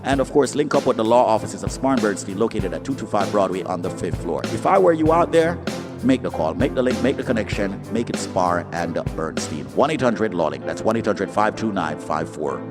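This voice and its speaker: A man's voice: on the low side, animated, fairly quick. A man announcing a scripted legal disclaimer and ad read. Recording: reverberant voice-over over music.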